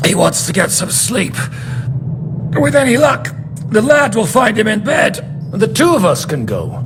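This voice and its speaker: A man's voice: high-pitched, background noise, full character voice. A man playing a battle-worn, commanding rebel captain in a medieval video game, with a blunt, wry way of talking. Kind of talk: cinematic video game acting.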